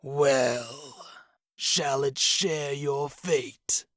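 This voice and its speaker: A man voicing a cruel, sneering male goblin king in a storybook reading, with a slow, menacing, hissing drawl. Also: mid-range voice, fairly bright tone, no echo, studio quality.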